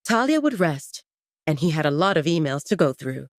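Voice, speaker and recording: A woman's voice: sits low, sing-song, moderate pace. A woman narrating a scripted romance story in a dramatic audiobook style.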